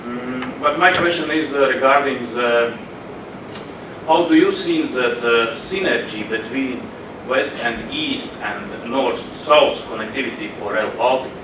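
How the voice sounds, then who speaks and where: A man's voice: medium pitch, a little slow. A man speaks up from the floor of a conference hall, his voice heard from a distance through a roomy echo. He asks his question hesitantly, hunting for words, over a noticeable noise bed. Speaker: audience member asking a question. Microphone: room audio, away from the microphone.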